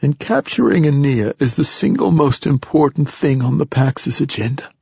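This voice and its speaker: Man acting an ancient raspy old poet, voice raised. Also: mid-range voice, animated, moderate pace, mellow, dry recording, voice pushed up, heavily acted. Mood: grave, urgent. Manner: tired, declamatory. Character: ancient, bedridden old poet, insistent prophetic schemer.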